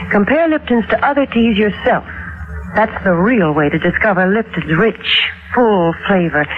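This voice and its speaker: A woman announcer giving a chipper, folksy, polished 1940s radio sponsor pitch. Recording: tinny, phone-like old radio, faint noise.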